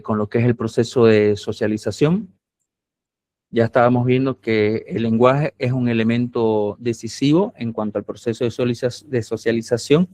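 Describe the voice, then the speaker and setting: A man's voice: mid-range voice, a little mellow, no room sound. A man from Santa Cruz, Bolivia, with a camba accent, lecturing a university class in a patient, explanatory way and often checking that students follow.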